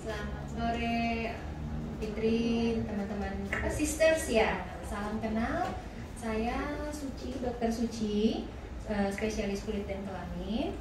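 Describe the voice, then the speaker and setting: A woman dermatologist introducing herself in a soft-spoken, calm way. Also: fairly high pitch, takes it slowly. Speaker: dermatologist speaker. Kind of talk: self-introduction. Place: clinic showroom, indoors. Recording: echoey room, distant mic, noise.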